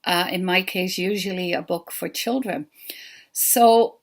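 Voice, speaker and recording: An older woman with a Dutch accent, a children's book author giving an earnest, unhurried pre-recorded talk. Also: lowish voice. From Netherlands.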